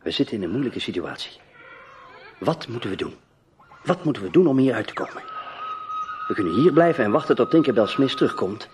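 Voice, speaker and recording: A man playing a self-controlled, brisk newspaperman who takes charge in a radio drama, speaking with deliberate authority. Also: mid-range voice, very animated, noisy background, close to an everyday voice. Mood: grave, controlled. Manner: commanding, deliberate.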